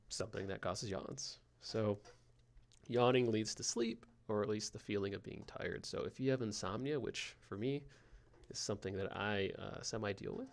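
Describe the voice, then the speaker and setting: Soothing low gravelly man rambling slowly. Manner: soft, slow and meandering, with frequent fillers. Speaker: podcast host. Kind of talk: rambling sleep podcast monologue.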